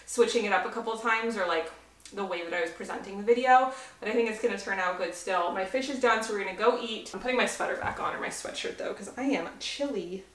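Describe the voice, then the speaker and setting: A woman's voice: medium pitch, quick pace, a bit bright. A young woman in her twenties, chatting casually to the camera at home in a bubbly, gushing way. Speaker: lifestyle vlogger. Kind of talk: casual vlog chat to camera. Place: small apartment, indoors. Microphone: camera mic, handheld or set on a tripod. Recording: echoey room with faint noise.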